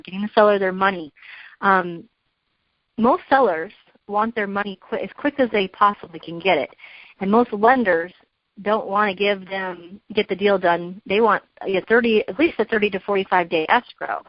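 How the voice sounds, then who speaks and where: A woman leads a training session over a conference call. She explains in a coaching, instructional way, working through examples and checking understanding. Her mid-pitched voice comes through dry and somewhat muffled, with lo-fi call sound. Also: no room sound. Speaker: real estate trainer leading a training call. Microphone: conference call line. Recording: dull, lo-fi conference call audio.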